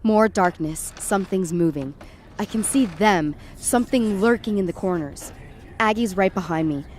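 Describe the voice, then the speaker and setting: A woman's voice: mid-range voice, normal speed, darkish tone, lightly acted. Actress as scared young woman tripping out, telling a horror story as it happens, tense, noisy background behind her. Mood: tense dread. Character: frightened, tripping young woman narrating a supernatural night. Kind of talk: first-person present-tense dramatic horror narration.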